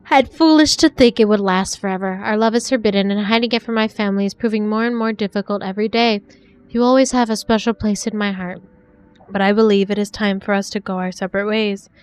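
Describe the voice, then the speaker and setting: A young woman from the 1940s pours her heart into letters to a secret love, as a woman reads them aloud in a game. Her voice is smooth and clear, of middling pitch, and she reads softly and sincerely. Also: close to an everyday voice. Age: young adult.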